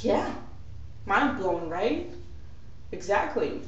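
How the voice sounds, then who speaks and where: A young woman from West Africa ranting casually to camera as a vlogger, sarcastic and animated, with many filler "likes". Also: medium pitch, very slow. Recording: very echoey, distant, dull lo-fi sound.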